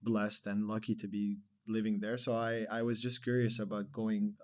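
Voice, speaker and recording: A man in his thirties from Lebanon, a podcast guest talking in a relaxed, rambling way with many fillers. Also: on the low side, moderate pace. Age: 30s. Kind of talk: casual interview chat.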